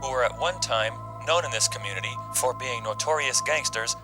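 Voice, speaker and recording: A man's voice: medium pitch, a little fast, music in the background, lightly acted, poor audio. A man playing a grave, formal news reporter delivering an emphatic crime bulletin in an audio drama. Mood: grave, alarmed. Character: grave male crime reporter at a disaster scene.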